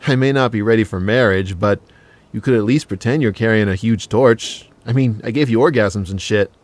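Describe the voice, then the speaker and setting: An actor playing a cheeky, big-hearted casual lover in an audio drama, with blunt, slangy, joking talk. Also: male voice, lowish voice, normal speed, no echo, natural voice.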